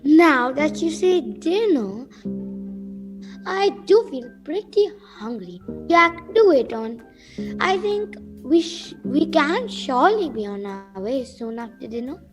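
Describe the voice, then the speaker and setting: A woman's voice: sits high, big pitch swings, slow, soft background music, heavily acted. A woman acting a chatty, gushing, oblivious mother in an amateur radio play, rambling and exclaiming.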